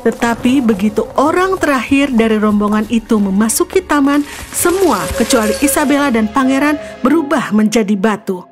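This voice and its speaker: A woman's voice: a little high, animated, normal speed. A woman storyteller giving a scripted narration of a fairy tale. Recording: music behind the voice. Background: background music.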